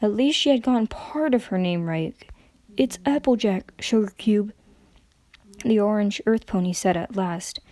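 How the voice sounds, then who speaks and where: A woman narrates a story as a dramatic reading. Her voice is mellow and sits at a medium pitch, recorded very dry. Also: lively intonation, normal speed, few pauses, no echo.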